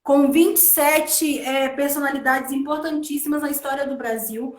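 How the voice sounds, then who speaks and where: A woman's voice: sits high, steady tone, normal speed. A woman from Brazil hosting a live stream, speaking in a casual conversational way and leaning on fillers as she talks. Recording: echoey room.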